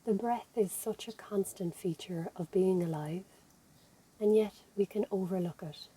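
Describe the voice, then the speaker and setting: A woman with an Irish accent leading a guided meditation as an instructor, speaking in a gentle, soothing, unhurried manner. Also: mid-range voice, husky, low-quality recording. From Ireland. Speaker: physiotherapist and yoga instructor leading relaxation.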